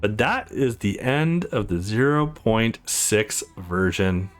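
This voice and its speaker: A man's voice: on the low side, lively intonation, slow, faint music behind. A man hosting a let's play, reading a visual novel aloud expressively and adding casual commentary.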